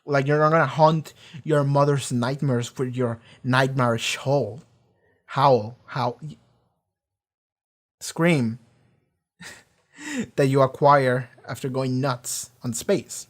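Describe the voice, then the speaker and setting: A reaction video host in a casual, rambling discussion, often talking loudly, swearing freely and filling pauses with lots of "like" and "um". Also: medium pitch. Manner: swears freely, many fillers and restarts; often talks loud.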